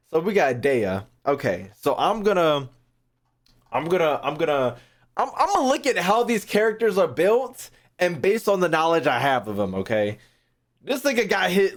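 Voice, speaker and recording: A man giving casual, slangy gaming commentary as a streamer, swearing freely and repeating phrases as he thinks aloud. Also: on the high side. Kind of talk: casual reaction commentary to a live chat.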